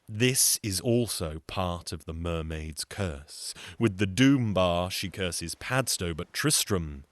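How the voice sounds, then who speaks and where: A man's voice: low voice, no echo. A man telling a folktale as a storyteller, in a dramatic, theatrical narrating style.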